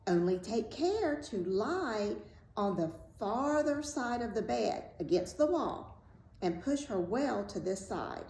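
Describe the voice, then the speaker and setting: A woman voicing a wicked, murderous old witch mother who schemes in a slow, sly way. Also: medium pitch, big pitch swings, dark tone, voice pushed up. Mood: sly scheming. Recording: roomy echo, faint background noise.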